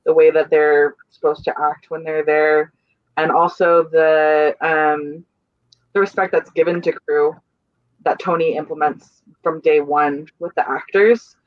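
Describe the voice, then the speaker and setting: A young adult stage manager speaking over a dull, lo-fi video call line in a dry, close sound. The voice sits at a middle pitch and the talk is earnest and meandering, full of restarts as the thoughts come. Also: flat delivery, a little slow, dry recording. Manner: rambling, searching for words, earnest. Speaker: stage manager on a theater crew. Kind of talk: casual panel chat on a video call.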